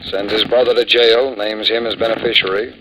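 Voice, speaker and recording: Man acting a lowish-voiced businesslike insurance man. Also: steady tone, lo-fi sound, close to an everyday voice. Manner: brisk, matter-of-fact. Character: businesslike male insurance company manager. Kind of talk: 1950s radio drama acting. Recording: noisy old radio recording.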